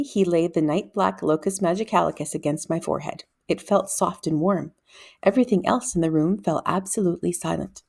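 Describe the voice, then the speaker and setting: A woman narrates a children's book aloud with an expressive storyteller's delivery. Her voice sits at a medium pitch and moves up and down in lively, dramatic swings. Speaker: read-aloud reader.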